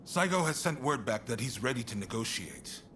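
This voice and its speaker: A man acting an affable, shrewd statesman in a video game cutscene, expressive and earnest but conversational. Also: medium pitch, moderate pace, background noise, close to an everyday voice. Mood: grateful, sincere. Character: affable shogunate statesman, shrewd negotiator, warm toward his ally. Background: music.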